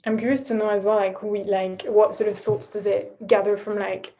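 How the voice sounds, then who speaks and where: A woman's voice: medium pitch, level tone, a little fast, dark tone, muffled recording. A woman facilitating a workshop on a video call, chatty and full of fillers. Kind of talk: casual chat on a video call.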